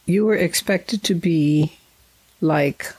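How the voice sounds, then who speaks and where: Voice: medium pitch, slow, a little mellow, husky, no room sound, poor audio. An interviewer who grew up in Nigeria, asking thoughtful, probing questions in a conversation.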